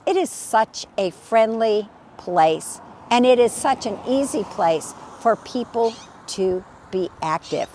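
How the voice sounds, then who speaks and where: A woman's voice: mid-range voice, a little hiss or hum. A woman real estate agent giving a casual, friendly walking tour of a lakeside community outdoors, telling stories as she goes. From California. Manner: friendly, chatty storytelling. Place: outdoors on a lakeside golf course trail.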